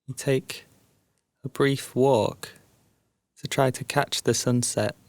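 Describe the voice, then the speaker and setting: A man guiding a sleep meditation as a narrated story, soothing and hushed, leaving long pauses between phrases. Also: mid-range voice, steady tone, no echo.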